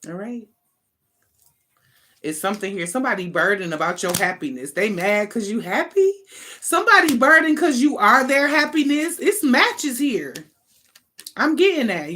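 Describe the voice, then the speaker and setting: A woman's voice: on the high side, expressive, normal speed, sharp tone, slight background noise. An American woman giving an informal, improvised tarot reading as an online host, talking in an emphatic, slangy, conversational way with casual swearing.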